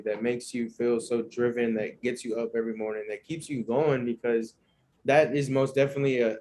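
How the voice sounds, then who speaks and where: A man's voice: medium pitch, a little mellow, slight background noise. A young man hosting a casual interview, speaking informally and encouragingly, with plenty of filler words. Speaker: podcast host, interviewer.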